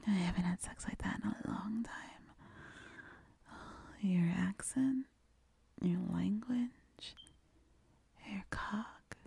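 A woman from the United States in an intimate erotic audio roleplay, sultry and sighing, with a breathy, mostly whispered voice close to the mic. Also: medium pitch, warm tone, dry recording. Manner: sultry, slow, sighing and moaning. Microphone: close mic, dry sound.

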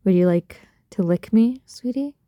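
A woman speaking in an intimate adult roleplay style straight to the listener, soft, breathy and coaxing, full of doting pet names. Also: mid-range voice, a little slow, no echo, studio quality. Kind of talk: intimate erotic roleplay audio, addressing the listener.

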